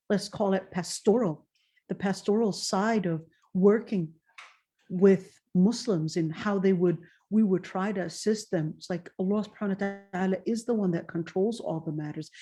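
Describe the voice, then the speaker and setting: Mellow-voiced woman giving an earnest religious lecture. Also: mid-range voice. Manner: earnest, with slow emphatic phrases and recited Arabic verses. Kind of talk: Islamic lecture.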